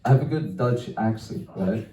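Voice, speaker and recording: Guy doing loose stand-up, mellow low voice, echoey room heard from far off. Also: normal speed. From Wales. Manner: self-deprecating, trails off into laughs, swears a lot. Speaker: stand-up comedian. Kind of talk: stand-up set, loose and rambling. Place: small comedy venue. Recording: echoey room, mic picked up from afar.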